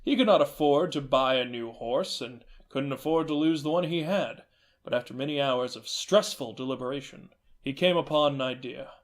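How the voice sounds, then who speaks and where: A man narrating a fairy tale in a gentle, measured storytelling manner. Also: mid-range voice.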